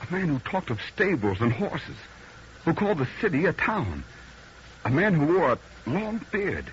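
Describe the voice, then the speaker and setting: A man's voice: a little high, sing-song, moderate pace. An actor playing a dazed, uneasy city man telling an eerie tale in old radio drama style, earnest and haunted. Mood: bewildered, urgent. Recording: muffled old radio recording, faint noise.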